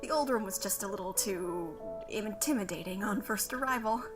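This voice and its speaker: A woman voicing a gentle, nervous young school counselor in an animated comic dub, polite and hesitant. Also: mid-range voice, normal speed, loud music behind the voice, lightly acted. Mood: polite welcome.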